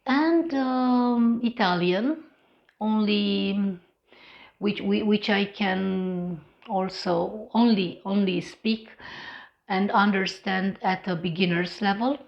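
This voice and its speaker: A woman's voice: medium pitch, takes it slowly, muffled recording. A woman with a Romanian accent, Romanian as her native language, hosting an English-learning channel in an unscripted vlog talk, hesitant with many fillers and restarts.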